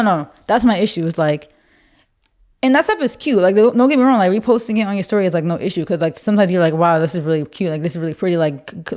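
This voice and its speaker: A young woman with a deep voice, co-hosting a casual podcast chat, animated and full of fillers. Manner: animated, lots of "like", mocking voices. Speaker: podcast co-host.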